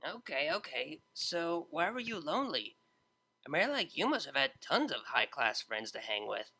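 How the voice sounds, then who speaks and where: Male reader as a young dropout DJ mare, breezy and slangy, slightly high and gravelly. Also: sing-song, normal speed, sharp tone, exaggerated voice. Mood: casual. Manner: casual, slangy, a bit self-mocking. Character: laid-back young female unicorn DJ, a blunt dropout. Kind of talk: fan audiobook character voice.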